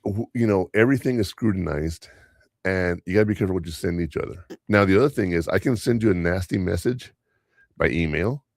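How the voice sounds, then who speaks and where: A man's voice: low-pitched, a little fast, dry recording, clean studio sound. A man whose first language is Spanish, hosting a podcast in a casual, rambling way, telling stories from work and chuckling often. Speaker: podcast host and school teacher.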